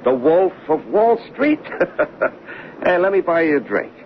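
An actor playing a brash, thick-skinned card-playing swindler in a 1970s radio drama, pushy and over-familiar. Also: male voice, sits high, very animated, measured pace. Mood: jovial, pushy. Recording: dull, hissy old radio recording.

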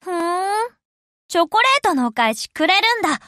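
A woman voicing young anime shipgirls in expressive, girlish game voice lines. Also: very high voice, normal speed, no echo, studio quality, exaggerated voice. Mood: haughty, flustered. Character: young anime shipgirls addressing their admiral over gifts.

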